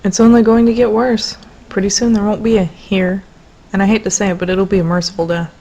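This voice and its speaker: A woman playing a jaded, sardonic young woman in a conversational audio drama, speaking bluntly and deadpan. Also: mid-range voice, fairly quick, lightly acted. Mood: bleak, deadpan. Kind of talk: low-key conversational audio drama acting.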